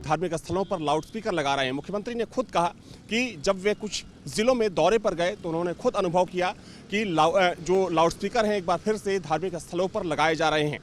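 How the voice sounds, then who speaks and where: A man giving an unscripted news report from the field, explaining somewhat haltingly. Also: high voice, faint music behind. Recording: faint outdoor traffic noise.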